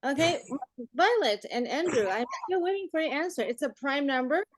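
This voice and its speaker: High-pitched playful woman teacher in her forties. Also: expressive, moderate pace, no echo. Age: 40s. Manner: playful, teasing, often chuckling. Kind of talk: interactive online class chat.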